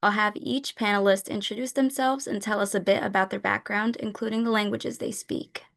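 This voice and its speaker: A woman's voice: medium pitch, steady tone, brisk, vocal fry, a bit bright. Young woman hosting a student event, upbeat and chatty, on a video call. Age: young adult. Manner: upbeat and friendly. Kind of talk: scripted event opening.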